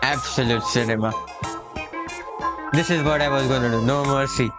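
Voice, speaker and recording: A man's voice: medium pitch, steady tone, moderate pace. A man casually commenting on a story game he is playing, thinking aloud in an offhand way. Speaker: gameplay streamer. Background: very loud game music.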